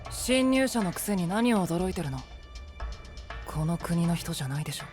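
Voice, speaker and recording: A sharp, guarded young twin knight. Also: male voice, high voice, animated, normal speed, music in the background. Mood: cold challenging. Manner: cool, sharp, demanding. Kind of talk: anime voice drama.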